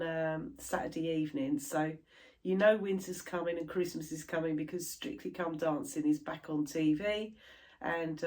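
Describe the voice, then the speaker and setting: A middle-aged British woman chatting casually to camera about her crafts, sounding stuffy and a little croaky from a chest infection. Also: deep voice, darkish tone. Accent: British English. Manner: chatty, rambling, laughs easily. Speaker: cross stitch vlogger.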